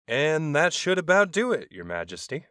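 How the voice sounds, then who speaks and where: A man voicing an alien right-hand man who speaks formally, earnestly and with a deadpan, literal manner. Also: fairly high pitch, moderate pace, clear, resonant tone, dry recording, studio quality.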